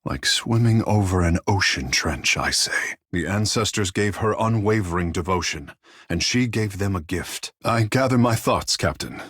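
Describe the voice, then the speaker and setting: Husky, theatrical young shark-folk charmer. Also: male voice, deep voice, expressive, normal speed, fairly bright tone, no echo, studio quality, heavily acted. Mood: musing. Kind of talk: video game voice acting.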